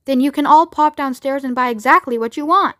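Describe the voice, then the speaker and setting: A woman's voice: high-pitched, brisk, fairly bright tone, no echo, clean studio sound. A woman voicing an earnest, practical young boy in a children's storybook, speaking straightforwardly. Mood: helpful. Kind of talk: children's storybook read aloud.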